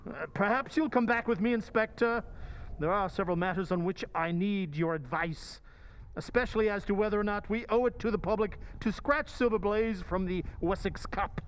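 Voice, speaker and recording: A stiff, courteous colonel in an old-time radio play, acted by a man. His voice is high for a man, and he speaks formally with some hesitation. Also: moderate pace, smooth, close to an everyday voice, low-quality recording. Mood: stiffly courteous.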